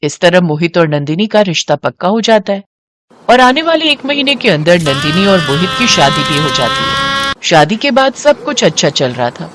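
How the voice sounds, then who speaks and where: Expressive woman narrating a story. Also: on the low side, normal speed, noisy background. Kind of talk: scripted moral story narration.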